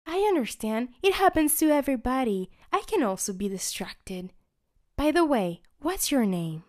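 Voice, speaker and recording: A woman's voice: big pitch swings, moderate pace, a little mellow. A woman voicing a small, timid boy toothbrush who panics easily, in a storybook reading. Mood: kind. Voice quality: high, breathless squeals when panicked. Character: timid little boy toothbrush, anxious and pleading.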